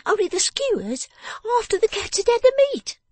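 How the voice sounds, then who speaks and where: A woman voicing a sharp-tongued, bossy, vengeful young woman in a storybook, with emphatic, snapping speech. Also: extremely high pitch, big pitch swings, normal speed, dry recording, voice pushed up. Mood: snapping, scolding. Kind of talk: dramatised storybook reading.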